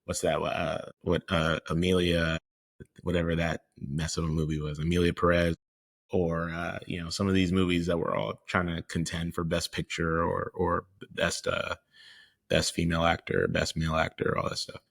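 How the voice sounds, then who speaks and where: Very deep-voiced man, earnest podcast talk. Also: flat delivery, normal speed, gravelly. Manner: earnest and reflective, with frequent hesitations. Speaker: podcast co-host. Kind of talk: casual conversational chat.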